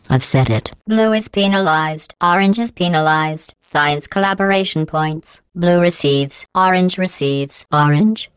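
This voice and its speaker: A woman voicing a cold, sarcastic female AI computer that runs a test facility, speaking in a smooth, clipped, synthetic-sounding deadpan with passive-aggressive menace. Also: sits low, dry recording. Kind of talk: video game voice clips.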